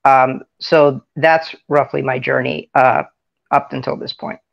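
A man's voice: mid-range voice, steady tone, measured pace, creaky, dull audio. A man in his twenties, hesitant, giving brief answers as a guest on a video call. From Seattle area, Washington. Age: 20s. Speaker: panel guest. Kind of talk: casual self-introduction.

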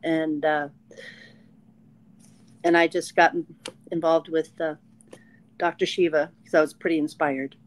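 A woman in her sixties from Washington State, introducing herself in a plain, halting way on a video call. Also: low voice, level tone. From Leavenworth, Washington. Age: 60s. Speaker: panel guest. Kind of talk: casual self-introduction.

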